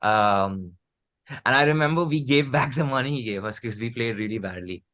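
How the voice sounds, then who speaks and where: A man speaks as a musician guest in a casual interview. His pitch is average for a man. He is informal and anecdotal, often breaking off a phrase and starting it again. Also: normal speed, a bit bright. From Mumbai, India. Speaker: musician, band member being interviewed. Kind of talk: casual chat.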